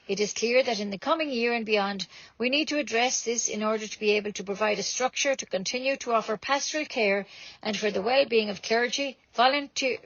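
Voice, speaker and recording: A woman's voice: fairly high pitch, a bit bright, no room sound, highs cut off. An Irish woman reading scripted local news as a community radio presenter.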